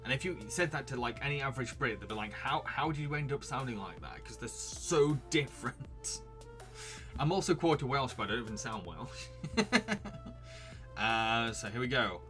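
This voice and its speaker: Giggly British streamer half Yorkshire. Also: male voice, medium pitch, normal speed, sharp tone, faint music behind. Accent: Yorkshire mixed with posh Received Pronunciation. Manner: chatty, giggly, many restarts, answers chat. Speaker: streamer and quiz host. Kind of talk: live stream quiz chat, reading out chat messages.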